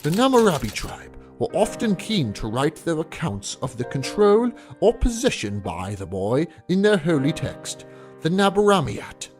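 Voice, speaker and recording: A man's voice: fairly high pitch, very animated, normal speed. A man playing a bookish academic researcher in an audio story, narrating his findings on a legend in formal, scholarly lecture style. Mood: measured, scholarly. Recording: music under the voice.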